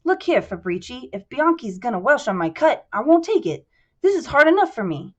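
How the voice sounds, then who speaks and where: A woman's voice: high-pitched, very animated, a little fast, muffled recording, voice pushed up. A woman voicing a scarred male boxer who talks in blunt tough-guy slang. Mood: wary. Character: scarred barrel-chested male boxer, gruff and eager.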